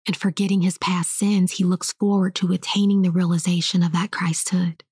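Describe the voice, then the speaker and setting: A woman whispering a slow guided meditation and spiritual teaching in a soft, hushed voice. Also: on the low side, flat delivery, breathy edge, dry recording, clean studio sound.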